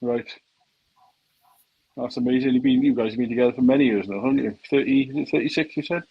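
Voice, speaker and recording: A man's voice: mid-range voice, steady tone, fast, vocal fry, breathy edge. Easygoing man on muffled call audio. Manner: easygoing, laughs often. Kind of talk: casual chat on a live call.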